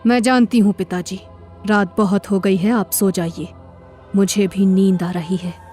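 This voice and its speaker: A woman voicing a mysterious, calm daughter back from the dead in a horror cartoon story. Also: mid-range voice, measured pace, soft background music, lightly acted. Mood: calm, ominous.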